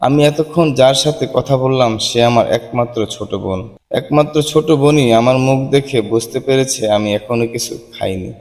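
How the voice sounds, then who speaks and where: A man's voice: medium pitch, a little fast, darkish tone. A man reading a scripted first-person cartoon story in an earnest, sorrowful manner. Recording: long hall reverb. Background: music.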